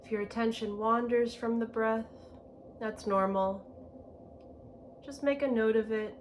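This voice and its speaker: A woman leading a guided meditation in a gentle, unhurried manner, with spacious phrasing and long pauses. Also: a little high, fairly even intonation. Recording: clear background ambient noise.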